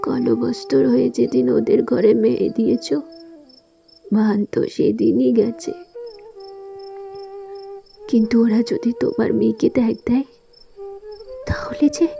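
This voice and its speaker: A woman's voice: a little high, sing-song, a little slow, creaky, pauses often, dark tone, highs cut off, faint music behind, heavily acted. A woman acting an outspoken young married daughter in a sad audio drama, emotional and pleading, speaking with precocious earnestness. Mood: tearful pleading.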